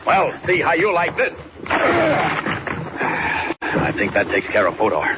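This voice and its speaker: A man's voice: on the high side, sing-song, measured pace. An actor playing a confident, teasing male superhero in 1940s radio serial style. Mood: triumphant, taunting. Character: bold, confident superhero man, deep and teasing. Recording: muffled, very noisy old radio recording.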